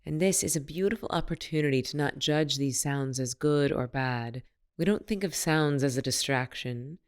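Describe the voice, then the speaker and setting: A woman leading a guided meditation as a teacher, speaking in a soothing, unhurried and gently instructive manner. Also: sits low, clean tone.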